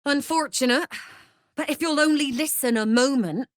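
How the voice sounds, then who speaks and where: A woman's voice: sits high, moderate pace, no room sound, clean studio sound, close to an everyday voice. An actress playing a scheming, commanding female mercenary leader in a video game, persuasive and calculating. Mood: smug, persuasive. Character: scheming, smooth-talking female mercenary leader plotting a takeover. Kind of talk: video game character acting.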